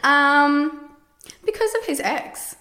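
A woman's voice: sits high, slow. A woman, a podcast guest telling stories animatedly and laughing often. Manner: animated storytelling, frequent laughs. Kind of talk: casual podcast chat. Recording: echoey room.

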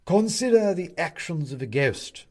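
A pompous old-court style owl judge from a ghost story. The voice is pitched up and swoops widely. Every phrase is declaimed with drawn-out, self-important grandeur. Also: male voice, lively intonation, darkish tone. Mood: lofty, lecturing.

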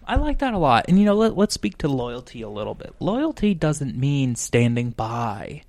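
A man's voice: mid-range voice, big pitch swings, normal speed, darkish tone, dry recording. A man in his late twenties co-hosting a casual podcast over drinks, rambling off on tangents and swearing freely. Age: 20s. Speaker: podcast co-host.

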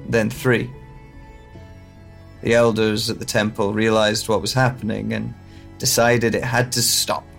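A man playing a haunted, guilt-ridden scholar with lost memories in an audio drama monologue, speaking in halting, deliberate phrases. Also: on the low side, level tone, vocal fry, fairly bright tone, soft background music. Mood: somber, reflective.

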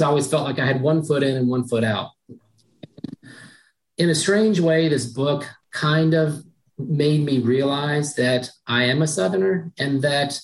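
A woman speaking on a panel, reflective and pausing often with ums. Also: a bass voice, poor audio. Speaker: author on a panel. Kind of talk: panel discussion.

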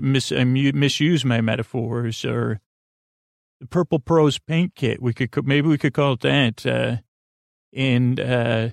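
A man hosting a sleep podcast in a soothing, creaky voice, rambling through tangents with many fillers and restarts. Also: medium pitch, no room sound, studio quality. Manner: meandering, full of fillers and restarts. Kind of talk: rambling bedtime monologue.

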